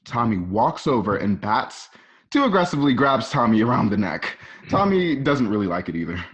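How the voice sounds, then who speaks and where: A man's voice: medium pitch, very animated, normal speed, a bit bright, highs cut off. A man narrating screenplay scene directions like a storyteller, recorded at home. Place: at home, remote ensemble session.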